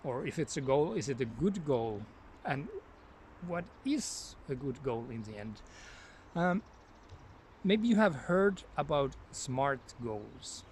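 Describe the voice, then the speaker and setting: Finnish-accented man, relaxed vlog talk in a forest, wandering train of thought, faint outdoor sounds. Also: medium pitch, slow, slight background noise. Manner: rambling, thinks aloud, easily sidetracked. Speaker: language learner vlogger. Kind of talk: casual talk to camera.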